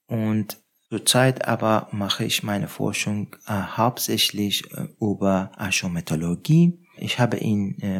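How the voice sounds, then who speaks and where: A man from Iran, answering interview questions about his research in a hesitant way with frequent pauses and fillers. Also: on the low side. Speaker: guest researcher.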